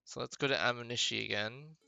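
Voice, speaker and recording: A man's voice: medium pitch, fast, creaky, pushed and bright. A man giving casual gameplay commentary as he hosts a playthrough, reading bits of dialogue aloud. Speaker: game streamer, playthrough host.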